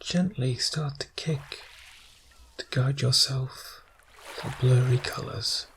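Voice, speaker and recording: A man guides a relaxation exercise in a soft, soothing ASMR style. His delivery is very slow, with gaps between short phrases, and his voice has a gravelly creak. There is faint noise behind him. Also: mid-range voice. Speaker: guided meditation narrator. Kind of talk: ASMR guided imagery narration.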